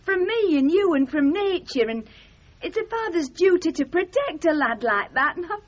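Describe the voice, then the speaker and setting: An actress playing an aggrieved, chatty middle-aged working-class wife with a Northern English accent in a radio comedy drama. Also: female voice, very high voice, sing-song, moderate pace. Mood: protective. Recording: muffled 1970s radio drama recording.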